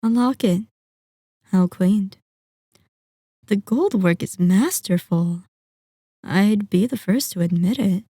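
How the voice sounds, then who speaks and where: A possessive female vampire lord, acted by a woman, speaks in a soft, mellow tone. She draws out her words slowly with mock courtesy and teasing menace. The ASMR roleplay sounds very close and dry. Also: mid-range voice, slightly rough, no room sound. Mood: teasing curiosity. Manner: silky, teasing, mock-polite, drawn-out menacing delivery. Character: sadistic, possessive female vampire lord toying with captive hunter.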